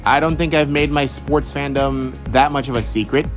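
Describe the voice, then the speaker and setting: A man's voice: mid-range voice, fairly even intonation, moderate pace, soft background music. A young man from Houston answering follower questions about voice acting in a loose, rambling chat, full of fillers and restarts. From Houston, Texas. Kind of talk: casual Q&A monologue.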